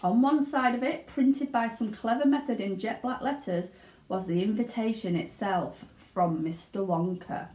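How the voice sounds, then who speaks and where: Woman, children's story narration, expressive, fairly high voice, roomy echo. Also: normal speed. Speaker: teaching assistant reading aloud.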